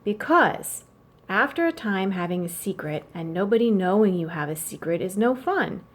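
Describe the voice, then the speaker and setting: A woman's voice: medium pitch, animated, normal speed, natural voice. Thoughtful young girl read by a woman. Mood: earnest. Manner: earnest, reasoning. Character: thoughtful young girl, reflective older sister.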